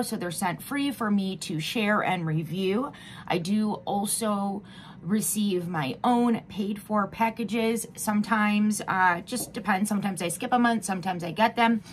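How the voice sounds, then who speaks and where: A woman in her thirties from Michigan, slightly hoarse, chatting casually through a beauty box unboxing at home. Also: mid-range voice, a bit bright, slight background noise. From Michigan, USA. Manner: chatty, frequent ums and uhs. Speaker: beauty vlogger. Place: home room with wooden wall backdrop.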